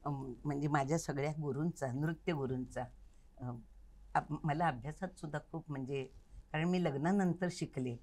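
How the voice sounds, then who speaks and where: Low-pitched older woman, earnest. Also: moderate pace, darkish tone. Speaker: interview guest, Kathak dance teacher. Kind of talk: reflective interview talk. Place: recording studio.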